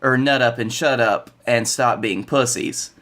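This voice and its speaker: A man's voice: medium pitch, unhurried, fairly bright tone. A man in his twenties with an American accent, chatting casually as a podcast co-host. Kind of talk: casual podcast banter about wrestling. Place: bedroom, indoors.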